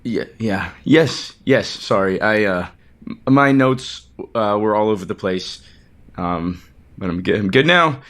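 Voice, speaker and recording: An actor playing an anxious, defensive, resentful chief executive in an audio soap opera, scattered and stammering. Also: male voice, lowish voice, animated, measured pace, a bit bright. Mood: flustered, nervous. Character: anxious, defensive male CEO, rambling, resentful younger brother type.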